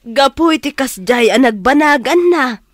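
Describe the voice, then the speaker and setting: An actress playing a calm, wise middle-aged aunt who advises gently but firmly in a Filipino radio drama. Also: female voice, high-pitched, moderate pace, no room sound, studio quality. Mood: firm, pleading.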